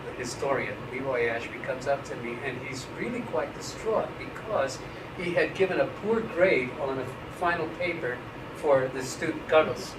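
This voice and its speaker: A man in his fifties being interviewed about writing, talking frankly and wryly with loose, casual language and frequent restarts. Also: fairly high pitch. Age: 50s. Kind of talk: relaxed academic interview. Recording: echoey room, mic at a distance, noisy.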